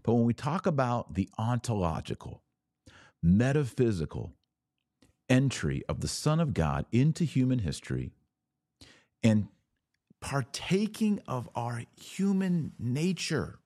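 A man's voice: medium pitch, big pitch swings, measured pace, mellow, no room sound, clean studio sound. A man hosting a religious podcast, talking informally and emphatically, often with rhetorical questions and repeated phrases.

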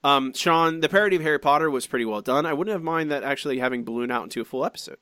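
A man co-hosting a podcast, reading out listener comments in chatty, animated fashion with frequent restarts and asides. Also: fairly high pitch, racing, no echo, studio quality. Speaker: podcast co-host.

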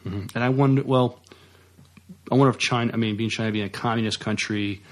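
A man co-hosting a podcast, chatting casually about news with halting, stammering starts. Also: on the low side, steady tone. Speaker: podcast co-host.

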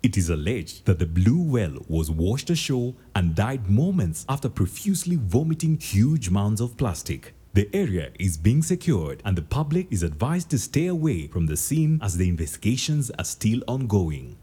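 A man reads a scripted breaking-news bulletin in a formal, measured way. His voice sits low but moves a lot. Music plays beneath him. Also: big pitch swings, warm tone. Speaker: newsreader.

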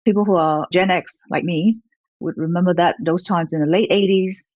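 Woman, 50s, interview guest; rambling, filler-heavy; lowish voice, dull dry lo-fi audio. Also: steady tone, normal speed, a little mellow, no room sound. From Malaysia. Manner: rambling, with frequent fillers and light laughs. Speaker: gallerist, guest. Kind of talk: conversational interview.

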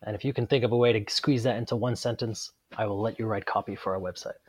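A man raised abroad, answering interview questions as a guest game designer in a relaxed, matter-of-fact way with dry humor. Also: fairly low pitch, fast talker, no echo. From Netherlands, raised abroad.

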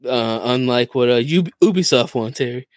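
A man with a medium-pitched voice chats informally as a podcast co-host. His voice is captured dry, with no room sound, in an easy conversational style. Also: moderate pace, no echo. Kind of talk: casual chat.

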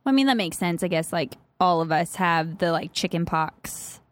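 Lively young woman co-host. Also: fairly low pitch, moderate pace. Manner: lively, supportive, asks questions. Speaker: podcast co-host. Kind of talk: casual chat.

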